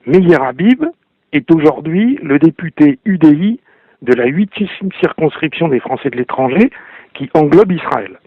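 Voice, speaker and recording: A man giving measured political commentary, hesitating now and then as he explains. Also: a little high, lively intonation, no echo, phone-quality sound.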